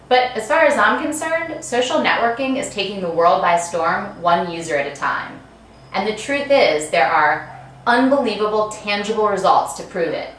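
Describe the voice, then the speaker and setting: A woman delivering a chatty, scripted promotional pitch as an author, with a breezy, playful manner. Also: a bit bright. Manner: breezy, playful, asks and answers rhetorical questions. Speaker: author promoting her book and talks. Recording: very echoey room, distant mic, faint noise.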